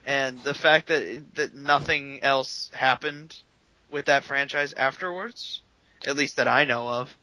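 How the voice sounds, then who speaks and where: Bright-voiced man chatting about games. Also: mid-range voice, a little slow, poor audio. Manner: opinionated, talks at length with frequent restarts. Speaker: podcast co-host. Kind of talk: casual gaming chat.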